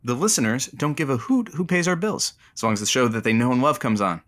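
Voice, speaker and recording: An actor playing a wry, eager radio sidekick and announcer in an audio drama, showy and teasing. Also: male voice, mid-range voice, sing-song, fast talker, a bit bright.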